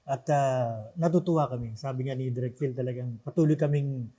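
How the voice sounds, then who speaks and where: A Filipino-accented man speaks as a radio drama guest in an interview. He talks fast in a soft-edged, dark, medium-pitched voice. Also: fairly even intonation. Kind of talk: interview answers.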